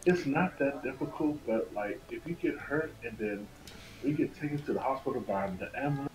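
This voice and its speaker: A man's voice: medium pitch, fairly quick, rough recording. A man talking casually as a guest in a conversation on a stream. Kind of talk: casual chat.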